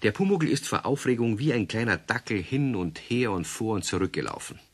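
A man narrating a children's radio play in a lively storytelling manner. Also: fairly low pitch, fairly quick.

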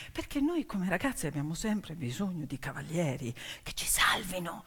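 A woman performing theatrical narration as a stage storyteller, emphatic and dramatic, with deliberate pauses and hushed drops. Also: medium pitch. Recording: hall reverb with piano music behind. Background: piano music.

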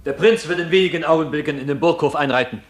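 An actor playing a dignified, loyal court official in a classical radio drama, with a formal, earnest, declamatory delivery. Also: male voice, a little high, fast, close to an everyday voice. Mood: brisk, announcing.

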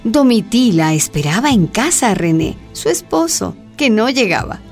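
A woman narrating a scripted radio drama in a measured storytelling manner. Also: fairly high pitch, big pitch swings, darkish tone, soft background music.

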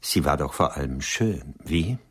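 Alternder Dichter mit sehr tiefer, rauer Stimme. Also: male voice, rumbling low, animated, husky, exaggerated voice. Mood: conciliatory, wistful. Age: older. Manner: measured, dry, courtly. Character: ageing womanising writer, urbane, unrepentant, coolly ironic. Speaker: radio drama actor. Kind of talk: German radio play dialogue.

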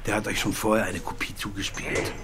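An actor playing a dogged private detective in a radio crime drama, probing and sardonic. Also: male voice, lowish voice, flat delivery, a little fast, crowd noise, close to an everyday voice. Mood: probing, impatient.

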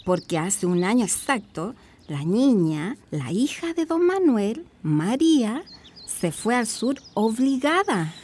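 A woman's voice: on the high side, sing-song, takes it slowly, darkish tone, husky, exaggerated voice. An actress with a Chilean accent playing a gossipy neighbour who insists she is no busybody, in a radio drama. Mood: confiding. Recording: noisy recording with clicks.

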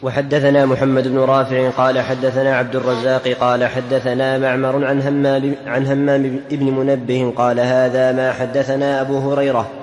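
A man's voice: medium pitch, completely flat delivery, fairly quick, hardly any pauses. A man reading hadith texts and their chains of narrators aloud to a teacher. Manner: level recitation. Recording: echoey hall, faint noise.

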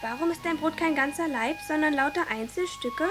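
A woman's voice: sits high, a little fast, over music, natural voice. A female performer playing an eager, determined young village schoolgirl in a radio drama, speaking in an earnest, childlike way. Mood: curious.

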